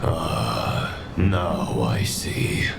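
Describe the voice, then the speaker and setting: A man's voice: rumbling low, lively intonation, extremely slow, creaky, heavy background noise, heavily acted. A man voicing a solemn, judging fallen knight in a video game, with a formal and grave delivery. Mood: grave, condemning. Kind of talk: dramatic video game voice acting. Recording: loud orchestral game music behind.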